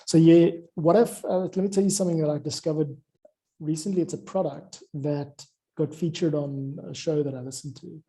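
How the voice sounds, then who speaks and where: A man's voice: a little high, steady tone, normal speed, warm tone. A man talking informally about audio production, explaining things in a rambling way as he thinks aloud. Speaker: audio drama music creator.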